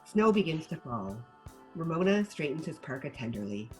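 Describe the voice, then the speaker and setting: A woman reading stage directions as scripted narration at a table read, in an animated manner. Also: deep voice, moderate pace, faint music behind.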